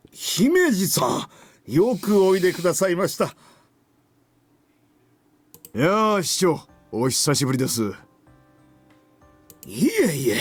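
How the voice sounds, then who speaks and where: A courteous middle-aged gentleman in visual novel style. He speaks formally, like business small talk, in a medium-pitched voice recorded dry, with faint music underneath. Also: no echo. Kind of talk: Japanese visual novel voice acting.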